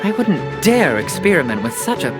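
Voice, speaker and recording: A man voicing a jovial, smooth-talking merchant in a video game. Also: a little high, sing-song, moderate pace. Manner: jovial, salesy, storytelling. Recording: studio voice over game music.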